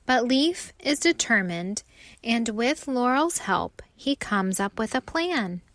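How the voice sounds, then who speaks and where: A woman narrating a children's storybook aloud with expressive, deliberate phrasing. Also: fairly high pitch, dry recording.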